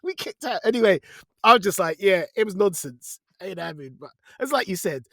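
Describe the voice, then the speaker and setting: A man co-hosting a podcast in casual chat, colloquial and animated when he tells things. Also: sits high, a little fast, dry recording, studio quality. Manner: colloquial, animated retelling with quoted lines. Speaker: podcast co-host.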